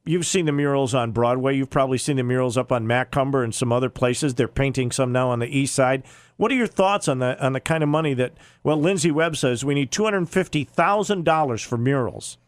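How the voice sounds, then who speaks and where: A man's voice: mid-range voice, a little fast, no echo. An American man hosting a radio talk show, questioning and arguing emphatically in an opinionated live interview.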